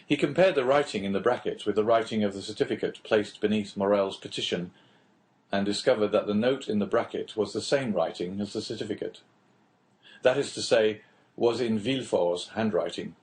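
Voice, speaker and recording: Male narrator, lowish pitch, measured, clear phrasing, scripted literary audiobook reading. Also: a little mellow.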